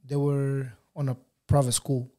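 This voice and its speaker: A man's voice: mid-range voice, level tone, unhurried, dark tone. A young man, a Portuguese native raised in Portugal after an Angolan birth, telling his story in casual interview chat with many fillers and restarts. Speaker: guest photographer.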